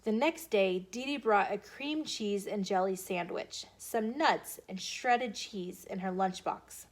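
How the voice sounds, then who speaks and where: A woman hosting storytime, reading aloud to children in an expressive, deliberate storytelling way. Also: medium pitch. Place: filmed in a bookstore.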